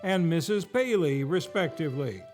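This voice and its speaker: A man's voice: a little high, animated, normal speed. A man hosting a live vintage-style radio show with a showy, grandly enunciated announcer delivery. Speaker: host and announcer. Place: theater stage, live show. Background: faint music.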